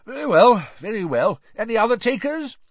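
Male reader as boisterous storybook wizard official, middle-aged but boyish, booming, husky, wildly lively pitch, carefree. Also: moderate pace, dry recording, muffled recording, heavily acted. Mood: exuberant. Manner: booming, eager, cheerfully careless.

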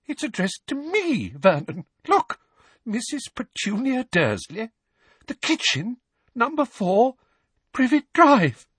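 A man's voice: medium pitch, lively intonation, fairly bright tone, breathy edge, no room sound, pitched above the performer's own voice, full character voice. A man voicing a snappish middle-aged aunt with a shaky voice, in an audiobook story. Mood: frightened, shaky. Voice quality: shaky, weak voice. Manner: brisk, snappish, faltering under strain.

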